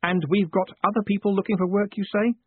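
A man voicing a quick-thinking young male con man turned postmaster, brisk, scheming and sardonic. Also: high voice, flat delivery, husky, no echo, pitched above the performer's own voice. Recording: dry, tinny phone-like sound.

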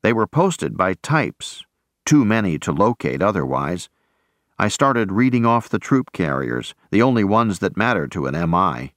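Guy narrating an audiobook, slow and deliberate, lowish voice, reflective. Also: big pitch swings, no room sound, studio quality. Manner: measured, reflective. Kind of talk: scripted first-person narration.

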